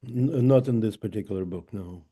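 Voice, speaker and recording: A man with a very dark, mellow voice and a low pitch answers briefly over a video call. He has a dry, wry manner and jokes quietly. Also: normal speed, slightly rough. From Soviet Union. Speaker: author guest. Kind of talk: casual conversation.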